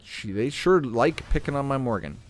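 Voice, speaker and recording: A man hosting a gaming channel, talking casually through a game while playing, clearing his throat as he is losing his voice. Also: mid-range voice, normal speed, soft background music. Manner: relaxed, thinking aloud while playing. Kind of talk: casual gameplay commentary.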